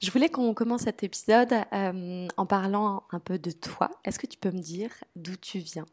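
A woman hosting a yoga podcast, introducing the episode and asking gentle, slightly hesitant questions. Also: medium pitch, normal speed, no room sound. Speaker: podcast host, interviewer.

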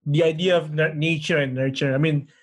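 Guy cohosting a chat, kinda high voice, keeps asking stuff. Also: steady tone, brisk. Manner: probing, questions a lot. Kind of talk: casual chat.

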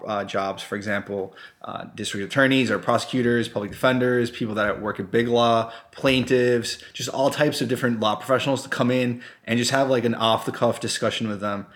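A man's voice: lowish voice, fairly bright tone. A man from Colombia co-hosting a casual podcast about working as a lawyer, talking in a laid-back, joking way. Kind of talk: casual chat.

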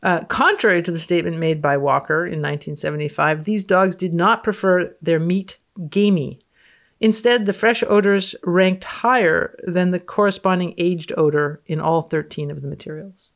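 A woman's voice: on the low side, moderate pace. A woman guest on a podcast, reading research aloud and commenting in a wry, matter-of-fact way. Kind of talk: reading research aloud with casual, unscripted reactions.

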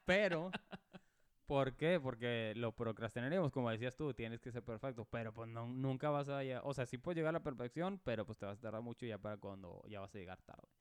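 Young Mexican man, Mexican accent, clear voice, relaxed rambling podcast co-host. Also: mid-range voice, a little fast, no echo, studio quality. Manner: relaxed, joking, rambling with restarts. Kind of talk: casual chat.

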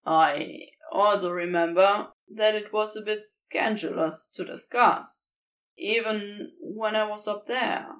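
A woman voicing a guarded male fallen angel in an audio story, with a halting, understated, awkward manner. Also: medium pitch, a bit bright, voice pushed down, poor audio.

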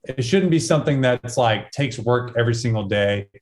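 A man from Texas with a medium-pitched voice talks as a guest on a podcast. He gives advice earnestly and conversationally, breaking his thoughts into short, informal phrases. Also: animated, moderate pace. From Texas, United States. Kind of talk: casual conversational podcast talk.

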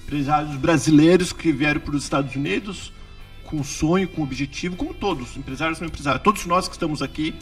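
A man's voice: fairly high pitch, fast, faint music behind. A Brazilian man hosting a casual business interview, joking and teasing his guest. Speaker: host.

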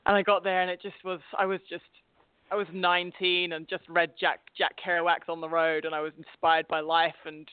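A woman's voice: on the low side, flat delivery, brisk, clean tone, no echo. A woman from England with an English accent touched by an Australian twang, chatting as a guest with frequent laughs and restarts. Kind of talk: casual interview chat. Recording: phone-like line, dry.

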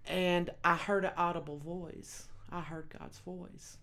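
A woman's voice: fairly low pitch, steady tone, measured pace, fairly bright tone. A woman with a Texas accent, a guest minister sharing her testimony in an earnest storytelling way. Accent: Texan. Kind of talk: personal testimony.

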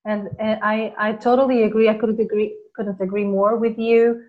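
A woman's voice: mid-range voice, little pitch movement, moderate pace, phone-quality sound. A woman with a Portuguese accent hosting a casual conversation, speaking earnestly and now and then searching for words. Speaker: host.

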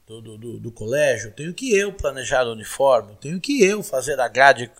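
A man's voice: medium pitch, sing-song, moderate pace, a bit bright. A man in his forties with a São Paulo Brazilian accent, answering interview questions as a candidate in an emphatic, repetitive, oratorical way. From Guarulhos, São Paulo, Brazil. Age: 40s. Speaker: political candidate being interviewed.

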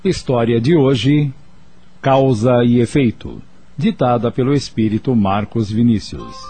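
Male radio drama announcer, scripted title delivery, medium pitch, loud music underneath. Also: lively intonation, measured pace.

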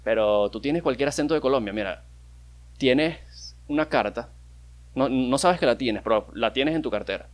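A man's voice: medium pitch, moderate pace. A young man with a Venezuelan accent, hosting a casual solo podcast, rambling and joking as he talks, with loose swearing and many restarts. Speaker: podcast host.

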